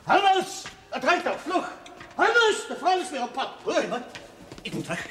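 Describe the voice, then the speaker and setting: An actor playing an excitable, outraged baron in a television drama, exclaiming and lapsing into French oaths. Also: male voice, very high-pitched, measured pace, full character voice. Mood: agitated, outraged. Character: excitable aristocratic baron, outraged over his sister's honour. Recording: noisy old TV soundtrack, echoey, crowd behind.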